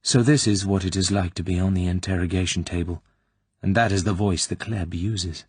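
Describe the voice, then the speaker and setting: A man's voice: deep voice, steady tone, moderate pace, a little mellow, breathy edge, no echo. A man voicing a frightened young female Soviet corporal who answers in a timid, hesitant, obedient way. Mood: thinking.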